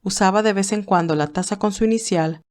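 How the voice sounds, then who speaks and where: A woman narrates a scripted audiobook story. Her voice is of average pitch, and she reads prose passages as the storyteller. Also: a little fast, darkish tone.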